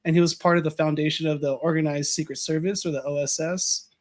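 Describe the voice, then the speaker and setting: Man from the US, slightly higher-pitched, chatty podcast guest who rambles, voice a bit worn. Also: steady tone, fast. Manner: rambling, with many fillers and restarts. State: losing his voice a little. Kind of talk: casual conversation.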